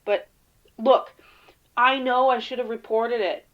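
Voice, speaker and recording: A woman playing a weary, defensive field agent in an audio drama interview, speaking haltingly and casually. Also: on the high side, steady tone, dull audio, lightly acted. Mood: uneasy, hesitant.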